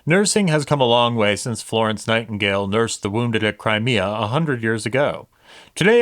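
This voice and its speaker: A man announcing in a formal, scripted old-time radio style with measured delivery. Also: mid-range voice, fairly bright tone.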